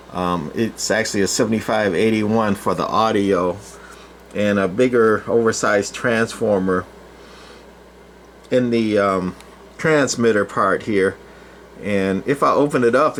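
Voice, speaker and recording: Halting casual man, noisy recording. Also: on the low side. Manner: halting, rambling, informal. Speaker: channel host, vintage CB radio collector. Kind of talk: casual off-the-cuff product demonstration. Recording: clear background noise, room ambience.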